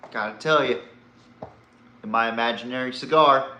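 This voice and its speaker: A man's voice: medium pitch, a little slow, pushed and bright, echoey room, a little hiss or hum. A man hosting a sports podcast, giving casual, opinionated commentary in a blunt way, swearing and throwing out rhetorical questions.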